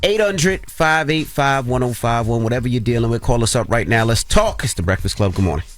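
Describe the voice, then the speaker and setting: A man's voice: medium pitch, a little fast, soft background music. A man hosting a morning radio show, upbeat and teasing as he takes calls. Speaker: radio host.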